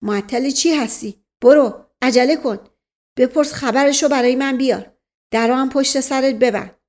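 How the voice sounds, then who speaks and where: A woman's voice: on the high side, a little mellow, lightly acted. Storybook uncle, female reader acting: older man, imperious and agitated, grave dramatic delivery full of command.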